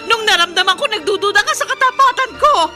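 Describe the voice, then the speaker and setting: A woman's voice: very high-pitched, animated, over loud music. An actress in a melodramatic radio drama playing a young wife who is hurt, emotional and defiant about leaving her husband. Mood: tearful fury. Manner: emotional, fast when upset, pleading.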